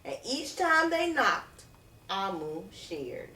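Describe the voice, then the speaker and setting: A woman reading a children's story aloud in an expressive, dramatic way, drawing out words for effect. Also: medium pitch, slow pace. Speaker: picture book reader. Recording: roomy echo, distant from microphone.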